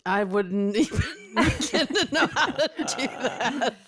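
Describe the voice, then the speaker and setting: A woman's voice: high-pitched, expressive, takes it slowly, fairly bright tone, a little hiss or hum. A woman co-hosting a podcast in casual chat, reacting often and laughing easily. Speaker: podcast co-host.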